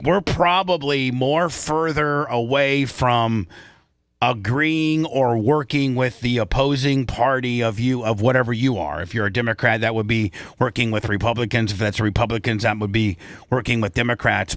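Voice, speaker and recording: A man's voice: mid-range voice, normal speed, fairly bright tone, no echo, studio quality. A man hosting a talk show in casual radio style, opinionated and repeating his points for emphasis.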